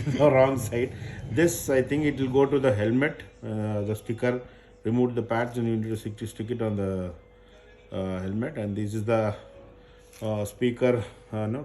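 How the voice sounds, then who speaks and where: A man doing a casual, unscripted product unboxing, haltingly thinking aloud as he goes. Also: medium pitch, a little mellow. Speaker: unboxing presenter. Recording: noisy recording with crinkling packaging. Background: handling and crinkling of packaging.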